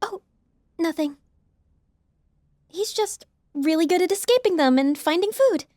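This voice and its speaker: An eager young college woman, in an audiobook drama. Her voice sits high, with a bubbly, chatty, earnest manner and a close, dry sound. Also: lively intonation, a little slow, a little mellow, no room sound. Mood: friendly, eager. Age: young adult.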